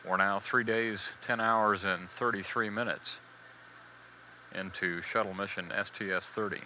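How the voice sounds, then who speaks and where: A man giving live spaceflight status commentary as a mission control announcer, measured, hesitant and matter-of-fact, on old band-limited broadcast audio. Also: fairly low pitch, fairly even intonation, bright, forceful tone, highs cut off, a little hiss or hum.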